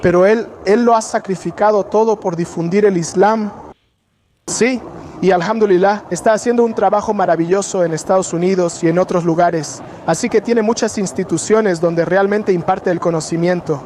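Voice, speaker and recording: A man's voice: sits high, steady tone, normal speed, a little mellow, slight background noise. A man acting as host, welcoming a visitor and introducing people to one another in a casual chat, often restarting his phrases. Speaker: local host introducing guests.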